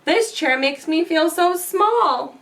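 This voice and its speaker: A woman's voice: very high voice, animated, slow pace, fairly bright tone, voice pushed up, exaggerated voice. A woman voicing a mischievous, carefree little girl in singsong rhymes for a storybook, a bit far from the mic. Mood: fussy, chatty. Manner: chanting, exclaiming in singsong rhymes. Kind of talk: children's storybook read-aloud.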